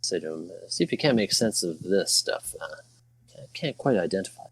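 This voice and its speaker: A man's voice: sits low, expressive, brisk, breathy edge, no echo. A man speaking casually as a player in a tabletop roleplaying game.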